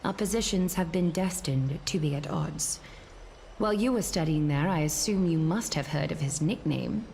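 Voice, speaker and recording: A woman playing a stern, regal female leader in a fantasy game, formal, measured and resolute. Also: sits low, gravelly, darkish tone, a little hiss or hum. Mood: measured disapproval. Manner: formal, solemn, deliberate. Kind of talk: dramatic fantasy game voice acting. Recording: game music behind voice.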